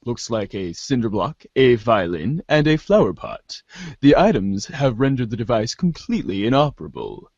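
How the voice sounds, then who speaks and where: A man's voice: medium pitch, big pitch swings, normal speed, darkish tone, dry recording. A man hosting and narrating an amateur reader's theater, in a muffled lo-fi recording. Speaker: host and narrator.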